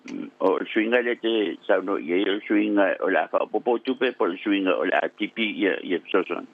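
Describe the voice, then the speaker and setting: Deep gravelly guy hosting a radio chat, halting, coming through a tinny phone line. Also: animated. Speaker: radio show host. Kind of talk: radio interview.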